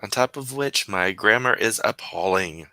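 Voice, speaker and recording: Bright-voiced man hosting a chatty stream. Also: on the low side, expressive, normal speed, dry recording. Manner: chatty, self-interrupting, laughs often. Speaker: host of a writing sprint stream. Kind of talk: casual livestream chat, reading viewer comments.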